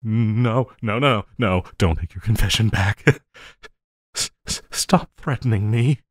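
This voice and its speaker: A man's voice: on the low side, dry recording, studio quality. A man playing an obsessive, lovestruck villain in an intimate audio roleplay, theatrical and giggly, playfully menacing and pleading. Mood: flustered. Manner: theatrical, slow drawling, frequent giggling.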